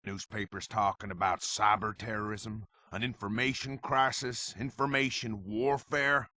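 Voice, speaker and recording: A man playing a forceful, alarmist official giving a public address. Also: lowish voice, fairly even intonation, measured pace, dry recording. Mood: stern, rousing.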